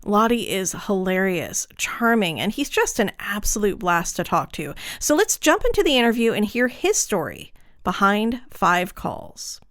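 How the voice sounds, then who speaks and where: A woman's voice: mid-range voice, big pitch swings, measured pace, fairly bright tone. A woman hosting a podcast, with an enthusiastic, effusive and friendly way of talking. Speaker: podcast host. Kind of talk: scripted introduction and friendly interview.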